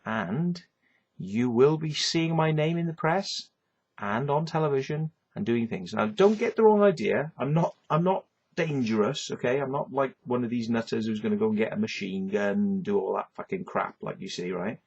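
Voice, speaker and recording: A man's voice: medium pitch, lively intonation, normal speed, vocal fry, darkish tone, slightly rough, highs cut off. A man with a Welsh accent delivering a rambling, swearing rant, tagging lines with "yeah" and "right". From Wales. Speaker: vlogger. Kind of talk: ranting monologue to camera.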